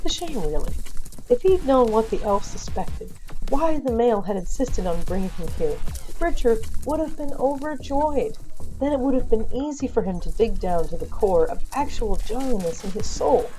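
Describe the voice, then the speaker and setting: A woman's voice: a little high, sing-song, normal speed, dark tone, soft background music, low-quality recording. A woman narrating a scripted comic audio story in a wry, theatrical manner. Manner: wry, theatrical, addresses audience.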